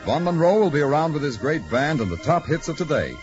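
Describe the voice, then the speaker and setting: A man works as a network radio announcer in 1940s style. His slightly high voice swings with animated intonation. The broadcast is dull, with faint music underneath. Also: quick pace. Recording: muffled vintage radio, music behind.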